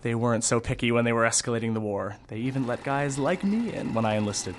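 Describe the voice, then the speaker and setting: An actor playing a candid, wry theatre costume designer and Vietnam veteran in an audio drama, telling things in a measured, reflective way. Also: male voice, mid-range voice, slight background noise, lightly acted. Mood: matter-of-fact. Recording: music behind the voice.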